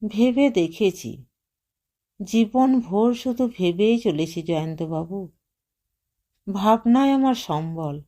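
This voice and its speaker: A woman's voice: medium pitch, expressive, slow pace, long pauses, warm tone. A woman reader voicing an anguished, resolute young housewife who pleads with bitter insistence in an audio story.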